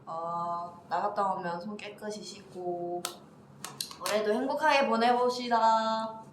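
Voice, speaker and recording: A young woman chatting casually on a livestream and offering soft, gentle New Year wishes. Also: mid-range voice, fairly even intonation, a little slow. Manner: soft-spoken, giving gentle well-wishes. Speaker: idol on a livestream. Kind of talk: casual chat. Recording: echoey room with faint noise.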